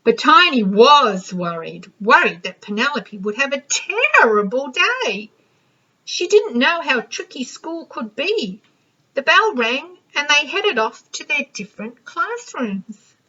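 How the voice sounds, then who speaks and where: Female storybook reader, librarian type: highish, bright, expressive, slightly dull recording. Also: slow pace. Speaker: librarian reading aloud. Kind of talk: expressive children's storybook narration.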